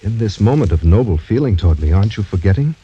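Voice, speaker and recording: An actor playing a proud, doomed young French gentleman in formal, courtly, brooding old-time radio drama style. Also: lowish voice, expressive, fast talker, slight background noise, close to an everyday voice. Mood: proud, resigned. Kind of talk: 1940s-50s American radio drama acting. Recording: dull, muffled old radio broadcast recording.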